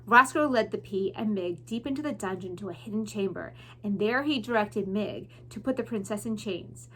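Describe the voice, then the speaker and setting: A woman's voice: mid-range voice, moderate pace, fairly bright tone, a little hiss or hum, rough recording. A woman narrating a children's book expressively, like a teacher reading aloud to pupils.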